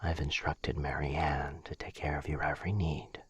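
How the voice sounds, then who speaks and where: A man playing a courteous, gentle, centuries-old vampire lord in a soft, breathy ASMR roleplay, hesitant and genteel in manner. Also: extremely low pitch, flat delivery, moderate pace, no room sound, exaggerated voice. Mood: soft, tender. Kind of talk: boyfriend ASMR roleplay acting.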